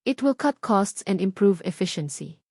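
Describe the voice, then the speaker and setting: A woman's voice: medium pitch, animated, normal speed, darkish tone, slightly rough, dry recording. A cold, powerful Filipino businessman voiced by a woman narrator in an audio romance. He speaks formally and with clipped professional firmness, never yielding. The recording is dry. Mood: firm, critical. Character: cold, businesslike, powerful male executive, unyielding.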